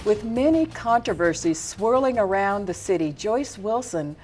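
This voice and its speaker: A woman hosting a studio TV interview, who often backs up her guest with soft murmurs of agreement. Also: mid-range voice, animated, moderate pace, faint music behind. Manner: warm, frequent affirming murmurs. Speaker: TV talk show host.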